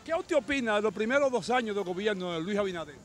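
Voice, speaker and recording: A middle-aged man with a Dominican accent, a street reporter with a handheld mic who briskly stops passersby on a busy sidewalk to ask their opinion. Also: sits high, expressive. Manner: brisk, friendly, calls out to passersby. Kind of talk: street vox pop interviews. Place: busy city sidewalk outdoors.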